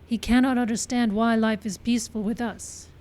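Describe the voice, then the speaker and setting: Female actor as proud, grave middle-aged daughter for radio western; higher register, formal plain-worded delivery. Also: steady tone, moderate pace, darkish tone, close to an everyday voice. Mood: solemn, dignified. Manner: formal, simple sentences without contractions. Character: proud, solemn middle-aged Pawnee daughter of old man. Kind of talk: old-time radio western acting. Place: live stage show in a park.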